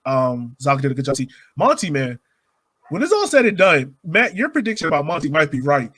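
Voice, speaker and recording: A man hosting a sports podcast, chatting casually and colloquially about soccer in an animated, joking way. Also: a little high, a little fast, slightly rough, no echo. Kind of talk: casual soccer game discussion.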